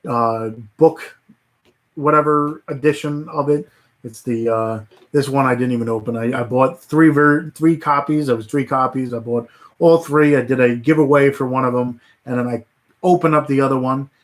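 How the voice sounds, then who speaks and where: A man hosting a casual livestream, rambling with many fillers and restarts, his voice starting to go hoarse. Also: mid-range voice, normal speed. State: voice getting hoarse. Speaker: livestream host.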